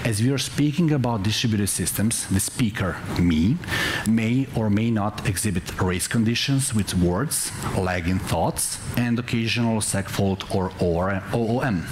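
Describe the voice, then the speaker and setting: A middle-aged man with a Serbian accent speaks as a presenter at a tech conference. His voice sits fairly low and his delivery is dry and halting. There is noticeable background noise from the room. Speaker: conference speaker, software developer. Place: indoor conference stage before a seated audience. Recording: clear background noise, live conference hall ambience.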